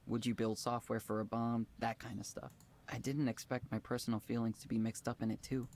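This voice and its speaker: A man's voice: medium pitch, level tone, a little fast, a little mellow, slight background noise, natural voice. An actor playing an earnest, conflicted young man who leads a tech program, hesitant and apologetic, in naturalistic visual novel dialogue. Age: young adult. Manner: earnest, hesitant, self-doubting.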